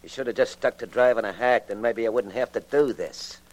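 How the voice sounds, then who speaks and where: An American actor playing a menacing, sneering gangster killer in a 1940s radio crime drama, slangy and taunting. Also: male voice, medium pitch, fairly quick, a little mellow, natural voice. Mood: threatening.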